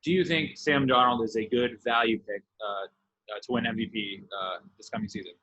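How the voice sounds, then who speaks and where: A man co-hosting a casual sports interview, halting and repeating words as he frames his questions. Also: mid-range voice, steady tone, pushed and bright, low-quality recording. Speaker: podcast co-host, interviewer.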